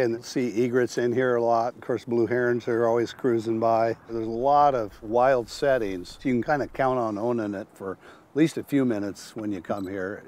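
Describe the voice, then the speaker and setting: A man in his sixties, a local photographer, talking in a relaxed, plain-spoken way outdoors. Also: medium pitch. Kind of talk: casual interview. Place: outdoors at a wetland viewpoint.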